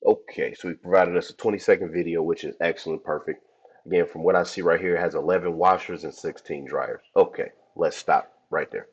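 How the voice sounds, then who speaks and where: A man hosting a laundromat podcast, hoarse from a cold, talking casually and chatty with his listeners. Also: sits low, steady tone, fairly quick. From Cleveland, Ohio. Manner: chatty, addresses listeners as y'all. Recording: dull lo-fi audio.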